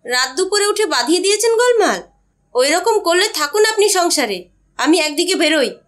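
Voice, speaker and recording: A woman's voice: extremely high pitch, big pitch swings, normal speed. A woman playing a stern, practical mother and daughter-in-law in an audio story, speaking firmly. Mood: stern scolding. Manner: firm, scolding. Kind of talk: audio story character acting.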